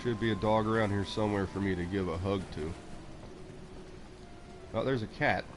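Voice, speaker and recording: A man's voice: fairly low pitch, fairly even intonation, moderate pace. A man from Texas and South Florida, casually chatting with viewers while he plays a game. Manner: chatty, talks to chat and coos at pets. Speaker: streamer playing a game. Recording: faint background noise.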